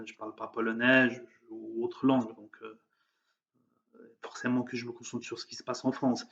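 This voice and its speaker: A man's voice: medium pitch, lo-fi sound. A man giving an emphatic, heated opinion monologue, hesitating often with fillers. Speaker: vlogger. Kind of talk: opinion monologue on current events.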